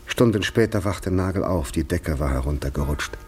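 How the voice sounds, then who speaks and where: A man's voice: deep voice, animated, normal speed, a little mellow, soft background music. A man narrating a literary radio drama as a grave storyteller, reading from a script.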